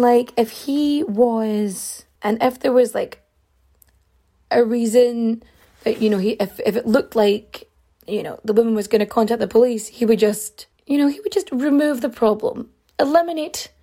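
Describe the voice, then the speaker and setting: A woman with a fairly high but soft, mellow voice, talking her way through a story in a loose, chatty way with lots of fillers. Her pitch jumps up and down as she gets into it, and her voice is wearing thin and a little hoarse. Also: moderate pace. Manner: chatty, many fillers, big pitch swings. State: losing her voice. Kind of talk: casual storytelling.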